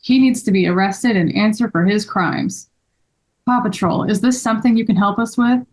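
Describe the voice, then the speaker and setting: A woman's voice: mid-range voice, moderate pace, a little mellow, natural voice. A woman voicing a determined, earnest young sleuth in a children's story table read. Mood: indignant.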